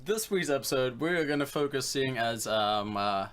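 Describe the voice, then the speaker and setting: A man's voice: mid-range voice, expressive, normal speed, a bit bright. A man hosting a casual podcast, bantering in a joking, mock-exasperated way. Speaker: podcast host.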